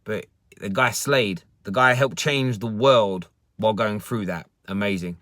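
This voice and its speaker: A man's voice: lowish voice, measured pace, bright, forceful tone, no echo, studio quality. A young man hosting a football talk stream from his parked car, chatting casually, often repeating words and restarting sentences as he answers viewer comments. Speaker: football channel host. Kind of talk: casual live stream chat.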